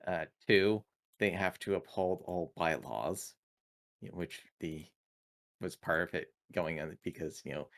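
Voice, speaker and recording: Low-pitched man chatting at a game table. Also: unhurried, fairly bright tone. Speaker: tabletop roleplaying player. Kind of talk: casual game-table chat.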